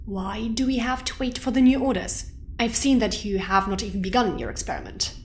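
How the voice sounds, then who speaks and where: A woman's voice: medium pitch, brisk, a little hiss or hum, natural voice. A woman playing a stern, businesslike scientist in an audio drama, speaking bluntly with a clipped, reproachful manner.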